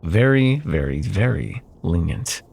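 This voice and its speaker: A man playing a smug, possessive corporate CEO and jilted ex-lover in an intimate ASMR roleplay, with silky condescension and pointed pauses. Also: low voice, big pitch swings, gravelly, a little mellow, husky, heavily acted. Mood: silky, bargaining.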